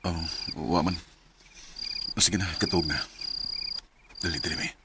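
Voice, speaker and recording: A young Cebuano father torn by doubt in a radio love story. His voice sits very low. Loud noise runs behind him. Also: fairly even intonation, a little slow. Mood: troubled, soothing. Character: troubled young father, doubtful, partner of pregnant woman. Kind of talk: Filipino radio drama acting. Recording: clear hiss and noise behind voice.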